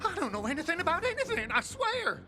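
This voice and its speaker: A scared, slippery street reseller from a video game. His voice sits very high for a man and sounds defensive and nervous. Music plays clearly behind him. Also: huge pitch swings, heavily acted. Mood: panicky pleading. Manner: nervous, defensive, fast-denying. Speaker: fence.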